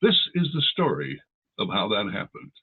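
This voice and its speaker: A man's voice: lowish voice, wildly swooping pitch, moderate pace, phone-quality sound. A man playing an old-time radio announcer, giving scripted narration of show-business history. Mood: storytelling.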